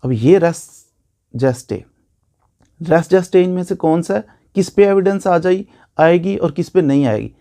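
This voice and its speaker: A man's voice: a little high, lively intonation, unhurried, warm tone. A man with a Pakistani accent giving an informal law lecture, explaining by repeating points and posing questions that he answers himself.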